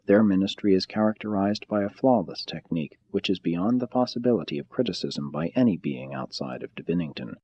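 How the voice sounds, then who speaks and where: A man reading a spiritual audiobook in a measured, solemn and formal manner. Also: low voice, expressive, warm tone, breathy edge, highs cut off. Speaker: audiobook narrator. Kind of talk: scripted audiobook narration of a spiritual text.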